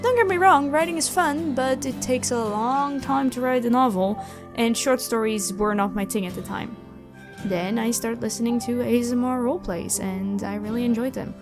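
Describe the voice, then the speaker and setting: A young woman with a fairly high voice talks through viewer questions in a chatty way. Her delivery is expressive, stretching some words for emphasis. Music plays softly behind her. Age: young adult. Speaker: ASMR audio roleplay creator and voice actor answering questions. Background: background music.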